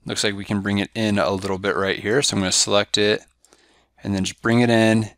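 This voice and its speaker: A man giving a step-by-step software tutorial over a screen recording, walking through each click in a steady, instructive way. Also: lowish voice, fairly quick, no echo, clean studio sound. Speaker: software trainer.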